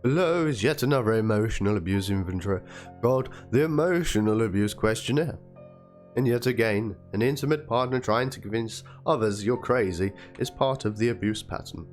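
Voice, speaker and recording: A man with a fairly low voice performs a dramatic reading of a written document. He narrates it theatrically. Faint music plays behind his voice. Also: a little fast. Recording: music under the voice. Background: background music.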